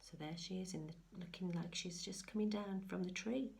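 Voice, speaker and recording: A woman reading a picture book aloud to young children, mixing expressive sing-song lines with hushed chatty asides. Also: lowish voice, moderate pace, vocal fry, slight background noise. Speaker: infant school story reader.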